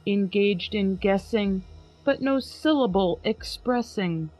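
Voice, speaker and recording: A woman hosting a podcast, giving a slow, emphatic dramatic reading of a poem over a video call. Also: mid-range voice, darkish tone, poor audio. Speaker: podcast host.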